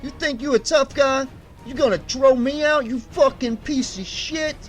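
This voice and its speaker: A man voicing a burly, violent male thug who shouts curses in a Spanish-tinged accent. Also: extremely high pitch, a little slow, soft background music, voice pushed up. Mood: menacing, shouting. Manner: shouting, snarling insults.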